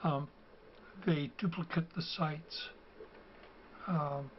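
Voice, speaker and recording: An older man, hoarse from a cold and losing his voice. He talks slowly and haltingly, filling pauses with ums and uhs, in a slightly high voice. The recording is dull and lo-fi, with a faint hum of ambient noise behind him. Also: steady tone. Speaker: video host. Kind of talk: casual explanatory talk.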